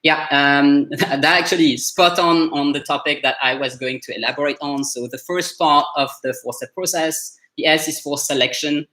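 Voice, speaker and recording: A man's voice: fairly high pitch, moderate pace, few pauses, sharp tone. A man with a French accent, coaching learners in a casual live session, talking loosely with many fillers and restarts. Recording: roomy echo.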